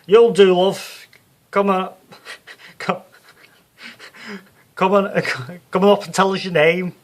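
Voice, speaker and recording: Man voicing a loud, high-pitched brash Liverpudlian woman psychic. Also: fairly even intonation, takes it slowly. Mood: bossy, showy. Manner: loud, bossy, theatrical patter. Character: brash, bossy female Liverpudlian stage psychic, theatrical.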